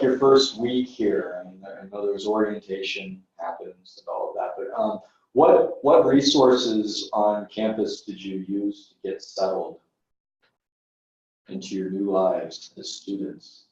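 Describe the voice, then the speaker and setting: Man, low voice, panel moderator, upbeat, chatty, distant mic, echoey room. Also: unhurried, darkish tone. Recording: echoey room, far from mic, dull sound.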